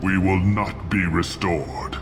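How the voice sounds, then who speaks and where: An actor playing a proud, ruthless old alien warlord scientist in a sci-fi video game, gruff, deliberate and disdainful. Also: male voice, steady tone, over music. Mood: zealous, grandiose. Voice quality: deep, gruff. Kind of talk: cinematic sci-fi game acting.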